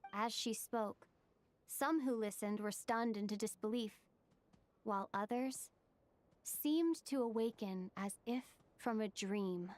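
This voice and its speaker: A woman narrating a story in a video game cutscene, in an even storybook manner. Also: a little high, takes it slowly, no echo.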